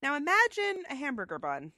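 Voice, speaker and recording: A woman's voice: high-pitched, wildly swooping pitch, fairly quick, sharp tone, no room sound, studio quality. A woman co-hosting a casual chat show, with a stuffy head cold and a chatty, enthusiastic way of talking. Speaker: podcast co-host.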